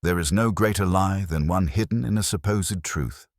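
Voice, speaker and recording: A man voicing a gruff, haughty, irritable genius detective in an audiobook, with clipped, pompous, formal speech. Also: deep voice, normal speed, no room sound, clean studio sound, pitched above the performer's own voice. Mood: solemn indignation.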